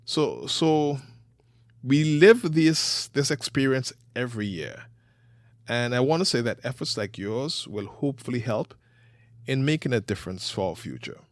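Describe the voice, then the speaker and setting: A man hosting an interview show, introducing guests and asking clear, earnest questions. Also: medium pitch, animated, a little slow, no echo, clean studio sound. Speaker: host.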